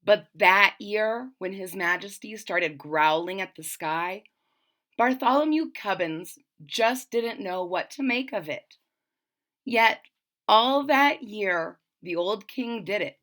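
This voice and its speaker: Slow, bright-voiced woman storyteller. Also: medium pitch, expressive. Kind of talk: expressive read-aloud of a children's picture book, with explanatory asides.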